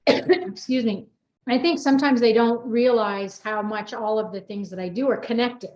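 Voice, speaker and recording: A woman's voice: fairly high pitch, normal speed, darkish tone. A middle-aged American woman chatting casually as a host, talkative and rambling as she tells stories with quoted asides. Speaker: host and book co-author. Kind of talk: casual personal chat. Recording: echoey room, slightly dull audio.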